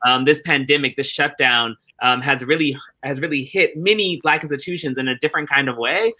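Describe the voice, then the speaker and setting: A man with a very bright, forceful voice at a medium pitch. He speaks as an earnest interview guest, deliberate and reflective, building points through rhythmic repetition. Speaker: interview guest, theatre director.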